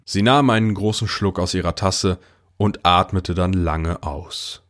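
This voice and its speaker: Low male voice, man acting young puzzled narrator, audio drama, first-person storytelling, measured, understated. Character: puzzled boy narrator recounting his talk with God.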